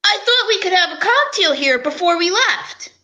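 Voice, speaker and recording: A woman playing a slow-talking male police inspector, a coaxing and theatrical suitor in an old-time radio show. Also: very high voice, expressive, bright, forceful tone, full character voice. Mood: suave, pushy.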